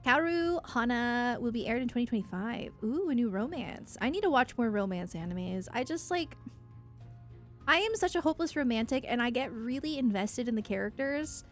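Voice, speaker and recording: A woman's voice: fairly high pitch, lively intonation, normal speed, faint music behind. A woman chatting casually on a livestream, rambling and effusive, with a self-deprecating way of talking as she answers her viewers.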